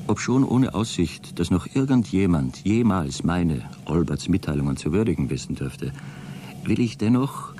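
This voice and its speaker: A man's voice: a bass voice, mellow, a little hiss or hum, exaggerated voice. A man playing a prim, defensive astronaut with a stilted, pompous manner in a satirical science fiction radio drama. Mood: grave, suspicious. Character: prim, defensive male astronaut keeping a formal log.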